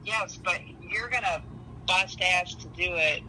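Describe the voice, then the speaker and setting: A woman's voice: on the low side, a little slow, background noise. A woman giving a casual astrology reading in a blunt, matter-of-fact way.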